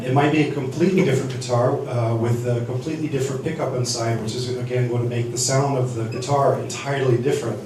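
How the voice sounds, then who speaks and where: Halting man teaching in an echoey hall. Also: mid-range voice, a little mellow, distant mic, slight background noise. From United States. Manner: halting, many restarts and fillers. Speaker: seminar speaker. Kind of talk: teaching sound-desk basics. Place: church hall.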